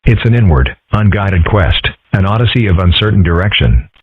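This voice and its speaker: Man as a husky, deadpan cartoon boy. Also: low voice, moderate pace, no room sound. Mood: musing. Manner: deadpan, formal wording. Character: calm, deadpan, philosophical eight-year-old cartoon boy. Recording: tinny, phone-like audio.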